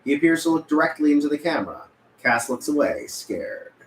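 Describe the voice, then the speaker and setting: A man's voice: fairly high pitch, very animated, fairly quick. A man reading stage directions as scripted narration at a film table read. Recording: roomy, echoey with room noise.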